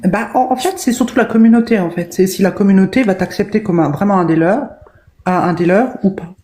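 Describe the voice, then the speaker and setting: A woman's voice: medium pitch, a little fast, warm tone. A woman debating in a casual online discussion, hesitant and full of fillers and restarts. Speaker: debate guest.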